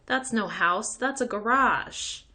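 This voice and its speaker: A cocky, sneering schoolboy bully, played by a woman reading a storybook. The voice is bright and forceful, full of taunts and scornful disbelief. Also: a little high, takes it slowly, voice pushed up. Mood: incredulous. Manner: taunting, incredulous.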